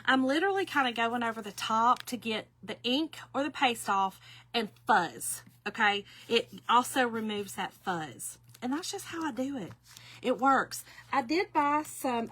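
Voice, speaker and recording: A woman in her early fifties walks viewers through a craft project in a loose, talkative way. Her voice has a bright, forward edge, and she keeps checking in with the audience as y'all. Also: fairly high pitch, lively intonation, a little slow. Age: 50s. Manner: chatty, folksy, addresses viewers as y'all. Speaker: craft demonstrator. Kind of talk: casual craft tutorial.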